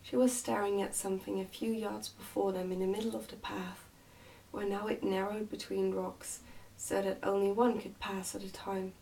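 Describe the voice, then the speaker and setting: A woman reading a children's storybook aloud as soft ASMR narration. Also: medium pitch, little pitch movement, moderate pace, vocal fry, far from the mic, slight background noise, low-quality recording. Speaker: book reader on an ASMR channel.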